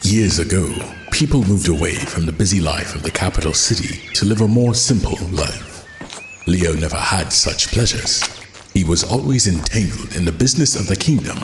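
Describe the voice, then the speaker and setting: A grave, deep-voiced storyteller narrates a fantasy saga. He is measured and solemn, his voice echoing over faint ambience. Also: background noise. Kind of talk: scripted narration.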